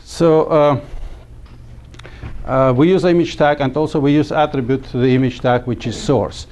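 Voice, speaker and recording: A middle-aged man from Armenia teaching a class, talking haltingly with many fillers and restarts. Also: medium pitch, steady tone, darkish tone. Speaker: instructor. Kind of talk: classroom lecture. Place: small classroom.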